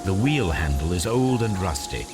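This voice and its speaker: British gentleman sleuth: low, very varied intonation, precise, analytical, video game, music underneath. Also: lively intonation. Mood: musing, analytical. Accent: British English. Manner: precise, measured, formal. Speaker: video game lead character. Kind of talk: scripted game dialogue and musing aloud.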